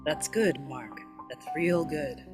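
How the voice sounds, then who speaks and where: A man's voice: a little high, slow, music in the background. A man playing an over-friendly, upbeat corporate minder with slangy encouragement in a table read. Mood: encouraging.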